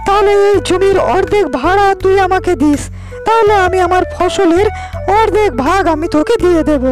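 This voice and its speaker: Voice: very high-pitched, moderate pace, over music. A performer voicing a clever young farmer in a cartoon, firmly arguing and repeating his points. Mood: sly, firm. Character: clever, firm young farmer man standing his ground. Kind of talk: Bengali cartoon character dialogue.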